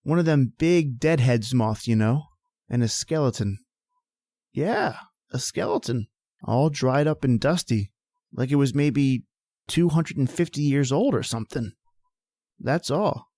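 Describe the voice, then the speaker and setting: A man's voice: mid-range voice, animated, measured pace, warm tone, no room sound. Man as a shaken, folksy caller, dry sound. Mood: uneasy, bewildered. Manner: folksy, hesitant, colloquial. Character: shaken, folksy working man phoning in a discovery. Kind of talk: old-time radio drama acting.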